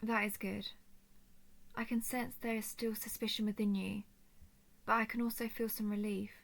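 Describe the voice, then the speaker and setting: Noble dragon lady, played by a woman: solemn, earnest, soft-spoken, flat melody, touch of creak, intimate roleplay monologue. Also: mid-range voice, lightly acted. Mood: solemn, reassuring. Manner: formal, measured, earnest speech. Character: noble female dragon of a ruling house, gentle, solemn.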